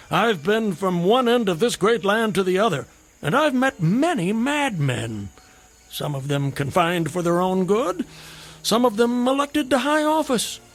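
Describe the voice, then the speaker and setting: An actor playing a garrulous, whimsical, hard-drinking drifter in a radio drama, with wry, theatrical, wordy phrasing. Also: male voice, sits high, creaky, a bit bright, exaggerated voice.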